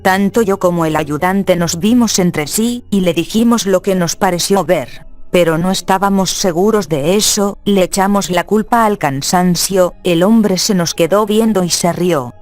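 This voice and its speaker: A narrator calmly reading a scripted horror story in an unhurried way. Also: mid-range voice. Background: faint music.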